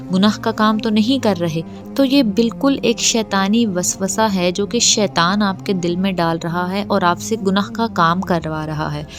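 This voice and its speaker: A woman giving a religious explainer talk in a didactic, admonishing manner. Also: medium pitch. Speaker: religious lecturer. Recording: clear music behind the voice. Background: background music.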